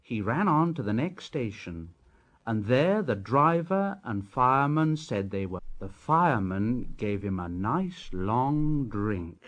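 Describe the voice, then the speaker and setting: Slow, expressive male storyteller over music. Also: mid-range voice. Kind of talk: scripted children's story narration.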